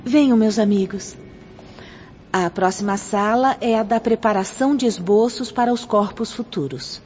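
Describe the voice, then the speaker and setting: An actress playing a gentle but authoritative female spirit guide in a radio drama, formal and courteous as she instructs. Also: mid-range voice, moderate pace, close to an everyday voice. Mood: solemn, explanatory. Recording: muffled, reverberant hall, noisy radio-drama recording.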